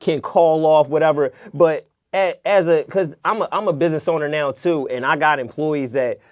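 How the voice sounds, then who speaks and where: A man's voice: a little high, moderate pace, no room sound, muffled recording. A man in his late twenties hosting a podcast, talking in a laid-back, slangy way and laughing often. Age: 20s. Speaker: podcast host. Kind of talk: casual chat.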